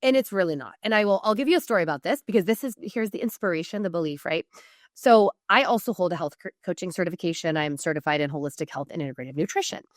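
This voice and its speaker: American woman, lowish voice, dry close sound. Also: expressive, fast, no room sound. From Oregon, United States. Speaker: podcast guest, PR strategist and business coach. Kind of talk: conversational interview, informal coaching.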